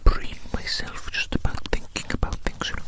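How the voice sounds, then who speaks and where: A man speaks entirely in a breathy whisper, as in an ASMR roleplay. His manner is gentle and soothing, and he often softly repeats short phrases to himself and the listener. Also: moderate pace. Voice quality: unvoiced whisper.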